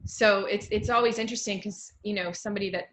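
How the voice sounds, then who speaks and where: A woman in her forties with a mid-pitched voice hosts an informal interview. She introduces and questions her guest in an everyday conversational style. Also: steady tone, fast, a bit bright. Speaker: host, interviewer. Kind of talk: casual interview hosting.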